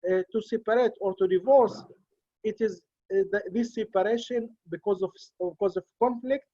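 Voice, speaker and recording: A man whose first language is Arabic, speaking English on a video call as a guest judge, halting and full of fillers and restarts. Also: sits high, dark tone, slightly rough, dry recording. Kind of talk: Zoom talk in a second language. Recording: dull lo-fi video call audio.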